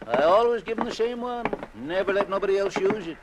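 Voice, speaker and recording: An actor playing a talkative, folksy American boat dock keeper with rustic, ungrammatical speech in an old radio drama. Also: male voice, sits high, animated, brisk. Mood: earnest. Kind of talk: mid-century American radio drama acting. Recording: noisy vintage radio drama recording.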